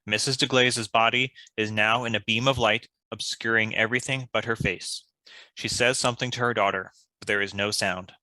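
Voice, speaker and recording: A man's voice: on the low side, steady tone, normal speed, bright, forceful tone, no echo, poor audio. A man reading scripted stage directions as the narrator.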